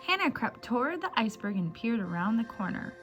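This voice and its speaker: A woman telling stories to young children as a library storyteller, with simple, encouraging address and an animated picture-book reading style. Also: a little high, a little fast, dull audio, soft background music.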